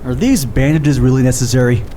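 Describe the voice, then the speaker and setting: A man acting in an audio drama as a guilt-ridden, defensive skeptic, with halting, hesitant delivery and dry sarcasm. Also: mid-range voice, dark tone, a little hiss or hum, close to an everyday voice. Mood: hesitant, uneasy.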